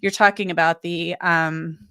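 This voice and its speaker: A woman's voice: fairly low pitch, fairly even intonation, unhurried, fairly bright tone, clear, resonant tone, no echo. A woman host, getting over a cold, chatting loosely through viewer comments and thinking aloud with many restarts. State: recovering from a cold, voice a bit strained. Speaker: legal commentator and livestream host. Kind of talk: casual livestream chat reading viewer comments.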